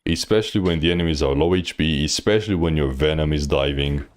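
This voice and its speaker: A man's voice: extremely low pitch, quick pace. A man coaching on a live stream, reviewing gameplay bluntly with lots of fillers. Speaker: game coach.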